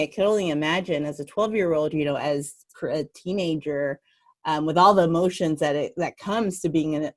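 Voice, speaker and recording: A woman hosting a podcast talks in a low, steady voice. She asks earnest questions and reflects openly on her own experience. Her delivery is relaxed and conversational. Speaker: podcast host. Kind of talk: conversational interview.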